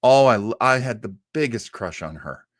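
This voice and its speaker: Casual male web-show host, jokey and loose, with very animated intonation. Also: medium pitch, moderate pace, dry recording, clean studio sound. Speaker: host of an old-time radio web show. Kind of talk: casual livestream chat reading viewer comments.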